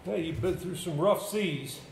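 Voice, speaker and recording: Burdened, reluctant villager played by a male stage actor, halting dramatic delivery, higher pitch, echoey theater. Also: gravelly. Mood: guarded. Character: troubled, reluctant local man burdened by village secrets. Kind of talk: live amateur stage play acting. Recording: echoey, noisy amateur theater stage recording.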